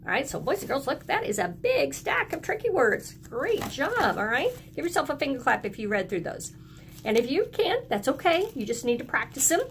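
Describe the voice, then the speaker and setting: A woman's voice: high-pitched, big pitch swings, moderate pace, fairly bright tone, slight background noise. A woman teacher giving a lesson to young children, encouraging them in simple phrases and prompting them to repeat after her. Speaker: early elementary school teacher.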